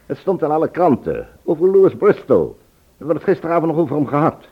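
An actor playing an insistent, genial husband in a radio play. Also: male voice, fairly high pitch, sing-song, fairly quick, slightly rough. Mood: smooth, hospitable. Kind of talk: Dutch radio drama acting. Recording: muffled old radio play recording.

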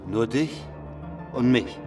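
An actor playing a watchful, admiring village mercenary, measured and deliberate, in a German historical radio drama. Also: male voice, mid-range voice, lightly acted. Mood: calm, ingratiating. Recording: distant from mic, music behind.

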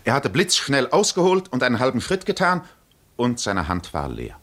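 A man narrating a literary 1950s radio drama in measured, deliberate phrases with pauses. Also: mid-range voice, wildly swooping pitch, a bit bright.

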